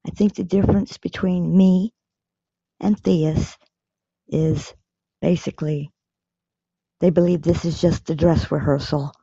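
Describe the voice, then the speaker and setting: An American woman with a low, dark-toned voice gives a short personal statement in measured phrases. It is a voicemail with dull, limited sound. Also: steady tone, creaky, slightly rough, no echo. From rural Kansas, United States. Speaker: voicemail caller.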